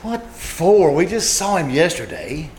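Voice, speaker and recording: A man's voice: fairly high pitch, big pitch swings, measured pace, a little mellow. A man playing an exasperated, falsely brave father in an old-time radio comedy, blustering with sarcasm and stammers. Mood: smug scolding. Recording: echoey live winery stage recording, clear noise.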